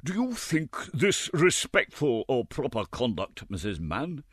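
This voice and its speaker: A man's voice: medium pitch, very animated, measured pace, a bit bright, husky, no echo, clean studio sound, pitched above the performer's own voice, heavily acted. A man voicing a pompous, choleric, fat parish beadle with a self-important, lecturing manner.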